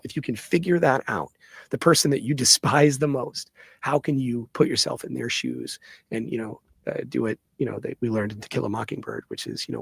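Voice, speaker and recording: A man's voice: medium pitch, vocal fry, fairly bright tone, breathy edge, no echo. A man answering audience questions as a guest in an earnest, emphatic, conversational way, often checking in with "right?". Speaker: guest, startup co-founder.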